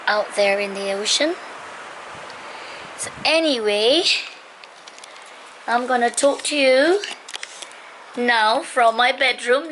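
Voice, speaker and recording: A woman's voice: fairly high pitch, noisy background. A middle-aged woman from Singapore vlogging casually from her bedroom, rambling and chatty with many fillers and restarts. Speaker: lifestyle vlogger. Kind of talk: casual vlog talk to camera. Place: bedroom indoors.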